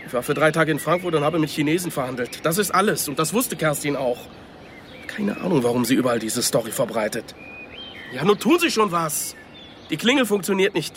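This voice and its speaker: A man's voice: on the high side, fairly bright tone. An actor playing an agitated, impatient middle-aged father and businessman in a radio drama, urgent and exasperated. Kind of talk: German radio crime drama acting. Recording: clear background noise, ambience.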